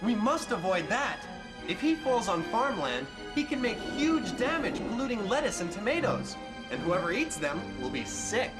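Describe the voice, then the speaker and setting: A woman's voice: medium pitch, big pitch swings, normal speed, natural voice, low-quality recording. A woman voicing a feisty, protective young raindrop in a cartoon, urgent and scolding. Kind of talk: cartoon voice acting. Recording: loud music behind the voice.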